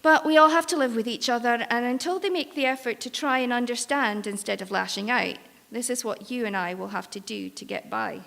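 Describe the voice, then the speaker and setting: In an echoing hall, an actress plays a protective, world-weary woman among a ship's crew in a live drama. Her voice is fairly high with a strong gravelly fry, and she speaks in a wry, coaxing, reassuring way. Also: fairly quick, hardly any pauses, a bit bright. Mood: wry, patient. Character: world-weary, protective female crew member mentoring a machine. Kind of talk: live staged drama reading. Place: live on stage in an arts venue. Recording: reverberant hall, live stage performance.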